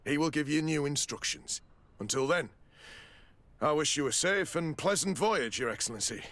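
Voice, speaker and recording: A man's voice: fairly high pitch, expressive, normal speed, fairly bright tone. Insinuating, formal male official. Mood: formal, satisfied. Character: smooth-talking, evasive, demanding male official with shady dealings. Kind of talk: video game cutscene acting.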